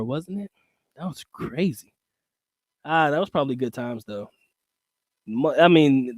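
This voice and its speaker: A man's voice: fairly high pitch, a little mellow, no echo, clean studio sound. A middle-aged man telling stories in a loose, rambling way and talking straight to his viewers as a livestream host. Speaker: channel host reading live chat. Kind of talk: casual livestream storytelling.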